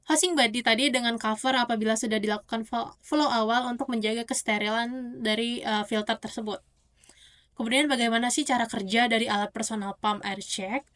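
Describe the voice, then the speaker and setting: Young woman, higher-pitched voice. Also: a bit bright. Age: young adult. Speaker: university student. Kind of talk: explanatory oral test presentation.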